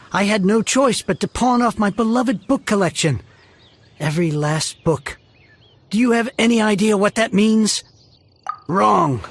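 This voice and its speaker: An actor playing a grouchy, dramatic older book collector in a video game, husky and theatrical. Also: male voice, high voice, slight background noise. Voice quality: husky, creaky. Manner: theatrical, blustering, exasperated. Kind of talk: English video game voice acting.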